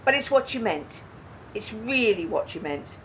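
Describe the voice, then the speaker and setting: An actress playing a wry, sharp, defiant woman in a naturalistic radio play. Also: mid-range voice, expressive, unhurried, a little hiss or hum. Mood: sharp, challenging. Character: adult woman, wry, sharp, defiant, confessing to her partner. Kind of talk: naturalistic radio play acting, dialogue and monologue.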